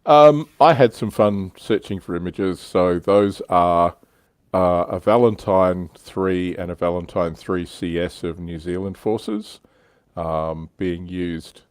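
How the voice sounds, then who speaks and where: A man's voice: low voice, takes it slowly, clear, resonant tone, no room sound. A man hosting a casual livestream discussion about a hobby, talking conversationally. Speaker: livestream host.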